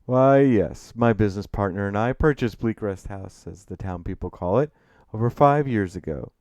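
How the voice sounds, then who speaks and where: Guy doing a tall refined older man, deep voice, formal n sly, kinda mysterious storybook type. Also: darkish tone, no echo, natural voice. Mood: proud, courtly. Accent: refined New England. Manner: formal, deliberate, insinuating.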